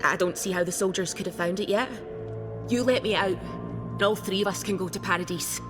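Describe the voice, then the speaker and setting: A woman acts out a jailed smuggler in a fantasy video game. She is blunt, scornful and defiant, with a medium-pitched voice. Music is clearly heard underneath. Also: brisk, lightly acted. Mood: urgent. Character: defiant, sardonic female smuggler held prisoner. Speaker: game character. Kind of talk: video game dialogue acting. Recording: orchestral game music behind the voice. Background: loud music.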